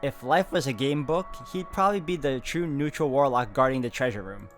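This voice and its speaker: A man's voice: medium pitch, fairly quick. A man hosting a game playthrough, reading story text dramatically and adding casual commentary. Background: game music.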